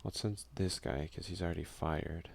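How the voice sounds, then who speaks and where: Man, deep voice, gravelly fry, soft-spoken casual gaming commentary, muttered asides. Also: fairly even intonation, moderate pace. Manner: reads game text aloud, mutters offhand asides. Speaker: let's play host.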